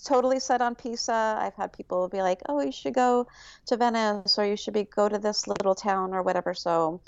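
A woman's voice: medium pitch, clean tone, dry recording. Bubbly lady podcast guest, dry and kinda muffled audio. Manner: upbeat, bubbly, quick to get excited. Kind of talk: casual interview chat.

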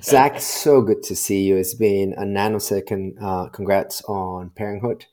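A man's voice: on the low side, fairly even intonation, unhurried, warm tone. A man with a Spanish accent, a guest on a podcast, talking in a jokey, friendly way. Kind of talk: casual interview.

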